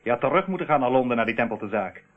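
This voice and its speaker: A man's voice: medium pitch, lively intonation, racing, close to an everyday voice. An actor playing a smug, slippery criminal who feigns innocence in a radio play, theatrical in his delivery. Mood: smug, menacing. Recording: tinny, narrow-band old radio recording.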